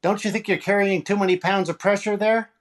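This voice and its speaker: A man's voice: high voice, steady tone, a little fast, lightly acted. Guy doing a wisecracking 40s radio comedy host, flippant heckler tossing one-liners. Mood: flippant, wry. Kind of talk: 1940s radio comedy acting.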